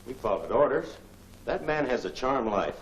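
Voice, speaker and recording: A man's voice: medium pitch, lively intonation, normal speed, slight background noise, natural voice. An actor playing a defensive, obedient gangster henchman in a 1940s movie serial, speaking plainly and urgently. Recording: echoey 1940s film soundtrack.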